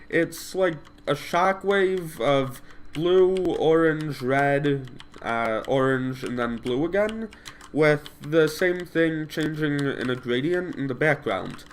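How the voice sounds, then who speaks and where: A man's voice: on the high side, lively intonation, takes it slowly, a little hiss or hum. Stuffed-up man narrating his gameplay. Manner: rambling, self-correcting, thinks aloud. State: stuffed up from a cold. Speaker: gameplay commentator. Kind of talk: casual gameplay commentary.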